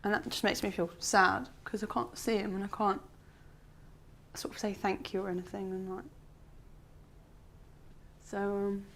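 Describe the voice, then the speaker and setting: A young woman, a bride-to-be, talking softly and reflectively to camera, affectionate and laughing gently now and then. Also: medium pitch, little pitch movement, measured pace, pauses often. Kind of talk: reflective documentary talk to camera.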